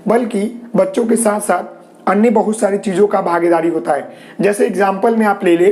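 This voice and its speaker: Man, Bihari Hindi accent, sore throat, high-pitched, live online class teacher, repetitive fillers, reassuring. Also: darkish tone. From Bihar, India. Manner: repetitive fillers, frequent "ji" and "okay"; reassuring, tells viewers not to worry.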